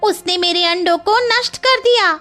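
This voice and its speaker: A tiny female cartoon bird, speaks in a very high, piercing register. She is motherly and anxious, with an emphatic delivery that turns to scolding quickly. Music plays faintly behind her. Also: bright, forceful tone. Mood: furious, accusing.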